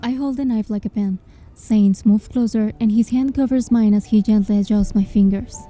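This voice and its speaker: A woman with a very mellow, dark tone narrating her own character's story over soft music, as heard in a fan reading of a romance game. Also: medium pitch, steady tone, moderate pace. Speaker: narrator and heroine's voice. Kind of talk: scripted first-person story narration. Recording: music under the voice.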